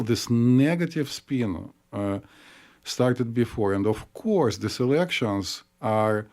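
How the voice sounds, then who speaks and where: A man with a Russian accent, co-hosting a psychology podcast in a measured, explanatory way with frequent pauses. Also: on the low side, darkish tone. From Soviet Union. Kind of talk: casual podcast discussion.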